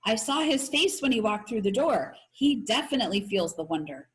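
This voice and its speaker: A woman's voice: on the high side, very animated, normal speed. Woman as a perky, cheeky young girl. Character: bright, cheeky young girl escape artist.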